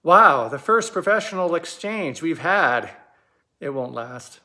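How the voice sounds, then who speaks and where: A man giving explanatory commentary as a host, measured and pausing for emphasis. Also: on the high side, very animated, bright, forceful tone.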